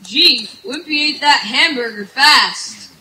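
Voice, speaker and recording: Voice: high-pitched, animated, slow, exaggerated voice. An actor playing an eager, plucky young boy in a cartoon radio play. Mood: curious, cheerful. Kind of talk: old-time radio cartoon acting. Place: outdoor stage in bamboo woods. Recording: live outdoor stage, background noise.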